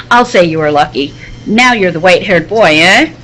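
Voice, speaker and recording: A woman's voice: lowish voice, lively intonation, unhurried, a bit bright. Actress as needling girl reporter, old radio drama, sceptical and sassy, faint hall noise. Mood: mocking. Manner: sarcastic, needling. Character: sassy, sceptical young woman newspaper reporter. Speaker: table-read performer. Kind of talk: 1940s radio serial acting, live read. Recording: noisy convention floor ambience. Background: convention hall noise.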